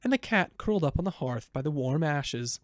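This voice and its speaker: A man's voice: on the high side, expressive, normal speed, no echo, studio quality. A man narrating a children's storybook as a voiceover performer.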